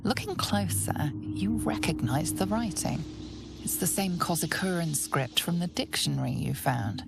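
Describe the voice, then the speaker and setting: The mysterious narrator of a fantasy game. Her voice is lowish with a creaky edge, solemn and scripted, set over prominent loud music. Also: animated, a little slow.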